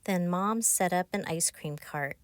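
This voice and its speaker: A woman narrating a children's picture book, reading aloud in simple, clear phrases for young listeners. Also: fairly low pitch, unhurried, no room sound. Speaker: author narrating her own children's book. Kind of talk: scripted children's storybook narration.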